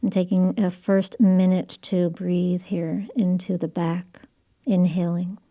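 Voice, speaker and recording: Calm lady yoga teacher, lowish mellow voice, soft soothing instructions, kinda breathy on the breathing cues. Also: takes it slowly. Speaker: yoga instructor and channel host. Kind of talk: guided yoga and relaxation instruction.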